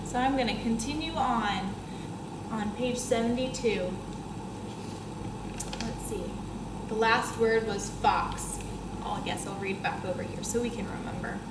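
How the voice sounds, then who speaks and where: Young woman teacher, high voice, echoey classroom. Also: a little slow, pauses often. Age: young adult. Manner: expressive, addressing young children with questions and explanations. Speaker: first grade teacher. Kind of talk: reading a children's book aloud to pupils. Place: school classroom, indoors. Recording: roomy classroom echo, clear background noise.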